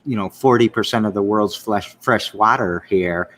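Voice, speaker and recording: A man's voice: fairly low pitch, moderate pace, fairly bright tone, slight background noise. A man with a Michigan accent hosting a casual interview, talking enthusiastically and rambling through his thoughts. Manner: rambling, enthusiastic, trails off and restarts. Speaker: show host.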